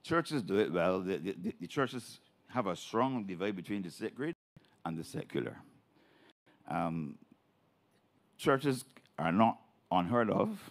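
A man's voice: deep voice, animated, takes it slowly, pauses often. A Barbadian man speaking from the floor at a public meeting, declaiming with emphatic rises. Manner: declaiming, rising to emphatic peaks. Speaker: audience member at a public meeting. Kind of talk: impassioned public speech. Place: large hall at a public meeting.

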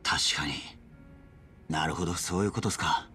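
A young, deferential gangster in a video game story. His voice is fairly low and his tone earnest and serious. Quiet music plays behind the dialogue. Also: normal speed. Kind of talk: video game drama acting.

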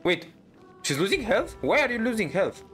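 Casual game streamer, medium-pitched voice, muttered live play-by-play over prominent game music. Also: big pitch swings, moderate pace, a bit bright. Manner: reacts aloud, mutters to self. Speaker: gaming streamer. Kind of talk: live gameplay commentary, casual chat. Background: game music and combat audio.

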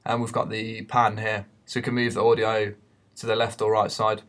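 Male tutor, lowish monotone voice, hesitant, talking through an unscripted screen-recorded lesson. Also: moderate pace. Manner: hesitant, explanatory. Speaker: media teacher giving a software tutorial.

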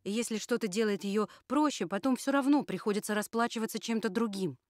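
A woman's voice: on the high side, a little fast, no echo, clean studio sound, close to an everyday voice. A woman voicing a female puppet survivor who solemnly warns people about the future. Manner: solemn, admonishing. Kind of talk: puppet theatre performance.

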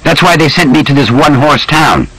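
A man voicing a young, sharp-witted army captain with clipped, cheery military politeness. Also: fairly high pitch, lively intonation, fairly quick, husky, no echo, pitched above the performer's own voice, low-quality recording. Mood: brisk.

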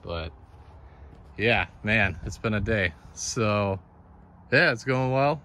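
Low-voiced man casually explaining his carving. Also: slow pace. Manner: relaxed, matter-of-fact explaining. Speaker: chainsaw carver. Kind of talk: casual workshop vlog narration. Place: outdoor yard by a workshop.

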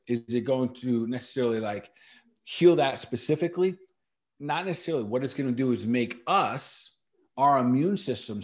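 Emphatic man explaining natural remedies. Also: mid-range voice. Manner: emphatic, repeats key words for stress. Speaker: natural medicine practitioner and podcast host. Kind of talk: casual podcast explanation.

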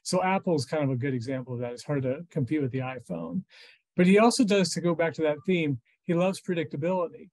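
A man in his fifties with an American accent and a fairly high-pitched voice. He explains things informally as a financial commentator, speaking conversationally over a video call from a room at home. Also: quick pace, darkish tone, poor audio. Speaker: financial journalist interviewed as expert. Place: small furnished room at home.

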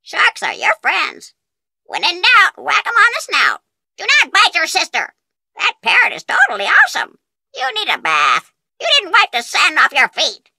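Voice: wildly swooping pitch, moderate pace, sharp tone, no echo, exaggerated voice. An actor voicing a cheeky, bossy pet parrot in a video game, squawking out mimicked human phrases. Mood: cheeky mimicry. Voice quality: squawky, shrill. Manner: mimicking, exclaiming in short repeated phrases. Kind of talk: video game character acting.

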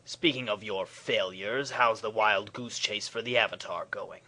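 A man's voice: fairly low pitch, fairly even intonation, measured pace, bright, forceful tone, exaggerated voice. A man playing a smug, menacing military villain in a comedic cartoon parody, sneering and sardonic.

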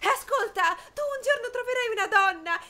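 Woman acting high-pitched, anguished headstrong young woman. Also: animated, moderate pace, sharp tone, heavily acted. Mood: desperate. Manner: pleading, insistent. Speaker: amateur dubbing voice actor. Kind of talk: emotional film dubbing in period drama.

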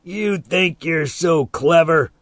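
A man voicing a big, angry bully in a story, shouting, taunting and threatening in a raised voice. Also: mid-range voice, takes it slowly, dry recording, lightly acted.

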